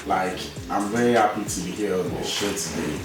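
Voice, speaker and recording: A man's voice: deep voice, a little fast. A man hosting a podcast interview in casual chat, playful and teasing, laughing often. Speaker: podcast host. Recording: echoey room, distant mic, music behind.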